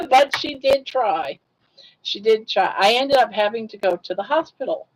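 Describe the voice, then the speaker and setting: A woman's voice: on the high side, animated, measured pace, poor audio. An older woman hosting a casual livestream, telling stories in a chatty way, laughing often and reacting to chat comments. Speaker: livestream host.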